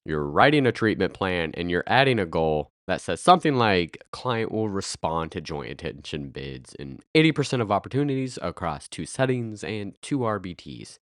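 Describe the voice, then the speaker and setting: A man in his thirties hosting a podcast, speaking with a raspy, nasal voice from a head cold, earnest with bursts of playful energy. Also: low voice, big pitch swings, normal speed, few pauses, dry recording, clean studio sound. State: congested with a cold, stuffy and nasal. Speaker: podcast host. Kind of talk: scripted podcast monologue.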